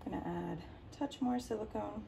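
A woman's voice: mid-range voice, brisk, gravelly, dark tone, slight background noise. A woman whose first language is Arabic, hosting a painting tutorial in a home studio and explaining as she works, with lots of ums and restarts. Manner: rambling, many ums and restarts. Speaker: art tutorial host. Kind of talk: casual explaining while working. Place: home art studio, indoors.

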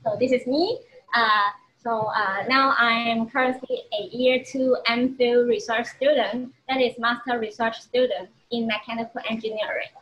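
A woman's voice: a little high, steady tone, moderate pace, fairly bright tone, poor audio. A woman in her twenties with a Hong Kong accent, a research student speaking on a panel in an earnest, slightly hesitant way. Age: 20s. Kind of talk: panel interview. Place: studio, indoors.

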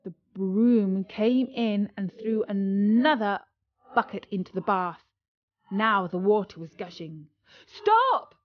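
A woman reads a story in a scripted narration style. She takes her time, with a mid-pitched voice, and the recording sounds a little dull. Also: slow, dry recording.

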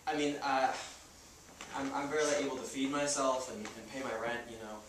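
An actor playing a young, idealistic, broke farmer on stage, pleading and stammering in slangy, insistent speech. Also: male voice, medium pitch, little pitch movement, fairly quick, lightly acted. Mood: sheepish, tentative. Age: young adult. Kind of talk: stage play acting. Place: indoor theater stage. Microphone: far camera. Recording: echoey theater stage, distant noisy camera mic.

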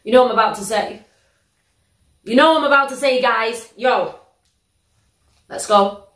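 A young woman with a British accent, hosting a reaction video in a living room, telling stories in an animated, slangy, exclamatory way. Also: on the high side, normal speed. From United Kingdom. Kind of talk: casual reaction vlog. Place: living room, sitting on a sofa. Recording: roomy living-room echo.

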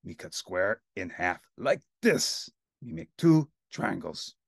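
A man voicing a greedy, cookie-obsessed male monster who talks in broken "me" grammar with a gravelly, husky growl. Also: on the low side, very animated, slow pace, no echo, studio quality, full character voice. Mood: gleeful. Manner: broken caveman grammar, self-called "me".

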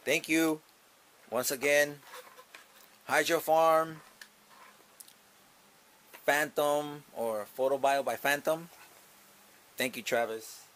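A man's voice: a little high, fairly even intonation, takes it slowly, long pauses, fairly bright tone. A young man casually talking through an unboxing in a small grow tent, upbeat and repeating himself as he thinks aloud. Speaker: gardening channel host. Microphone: camera mounted above.

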